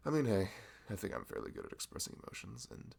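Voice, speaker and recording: Man acting as bashful young male florist, ASMR roleplay, chatty and rambling, low gravelly fry, lively melodic delivery. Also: fast talker, exaggerated voice. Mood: bashful, sincere. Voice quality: velvety, low, creaky. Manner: chatty, hesitant, rambling, self-deprecating. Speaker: ASMR voice performer. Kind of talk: ASMR roleplay, improvised casual chat.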